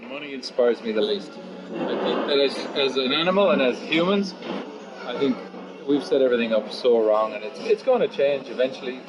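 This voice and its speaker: A man of fifty, a musician chatting casually and philosophically in an interview, blunt and sweary, rambling into animated asides. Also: medium pitch, a little fast, lo-fi sound, background noise. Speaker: musician and guitar teacher being interviewed.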